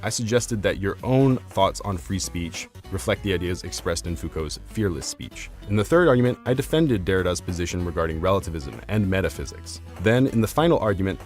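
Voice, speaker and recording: Low-voiced man narrating a formal video essay. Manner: articulate, formal, academic phrasing. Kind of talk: scripted argumentative narration. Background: soft instrumental music.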